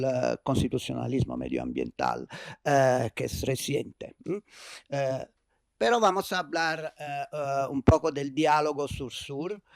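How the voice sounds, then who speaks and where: Italian man, keynote speaker, halting non-native delivery, slow and dry. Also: fairly high pitch, dry recording. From Italy. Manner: hesitant, many fillers and restarts. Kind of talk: conference lecture. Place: university lecture hall.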